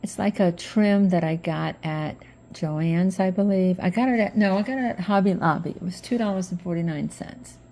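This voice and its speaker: A middle-aged woman with a slightly hoarse voice from allergies, chatting relaxed step by step through a craft tutorial as host. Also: fairly low pitch, moderate pace, slight background noise. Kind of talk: casual craft tutorial narration.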